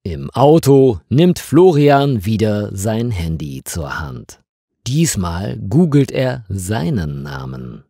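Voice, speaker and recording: A man narrating a scripted story for language learners in a deliberate, expressive and carefully phrased way. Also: fairly low pitch, husky, dry recording, clean studio sound.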